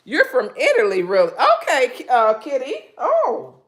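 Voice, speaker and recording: Loud-voiced chatty woman from Michigan. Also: high voice, wildly swooping pitch, moderate pace. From Michigan, USA. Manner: chatty, rambling, frequent ums, talks straight to the chat. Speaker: live-stream host. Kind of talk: casual live-stream chat with viewers. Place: home kitchen.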